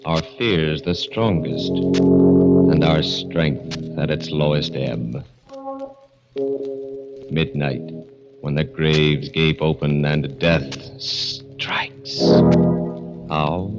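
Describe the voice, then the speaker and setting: Deep, slow, gravelly horror announcer. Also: male voice. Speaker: announcer and narrator. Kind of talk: slow ominous radio narration. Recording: muffled old radio with organ music.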